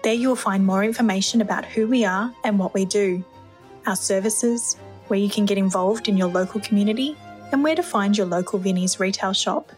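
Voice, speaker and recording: A woman's voice: mid-range voice, fairly quick, a little mellow, faint music behind. An Australian woman delivering a scripted podcast sign-off as announcer.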